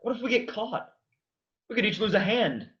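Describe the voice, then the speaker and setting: A man's voice: high voice, expressive, normal speed, fairly bright tone. A man playing an earnest, argumentative man who wants to die, in a staged reading with dull sound. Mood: anxious, irritated. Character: earnest, argumentative, despairing man set on dying.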